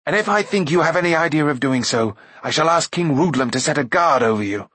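A man voicing an earnest, worried young adventurer in a storybook, speaking gravely and firmly. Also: medium pitch, normal speed, no room sound, lightly acted. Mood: angry. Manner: grave, firm, urgent.

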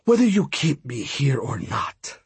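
A man voicing a menacing, gloating serpent god of death, taunting and deliberate in manner. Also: medium pitch, big pitch swings, vocal fry, husky, no room sound, heavily acted.

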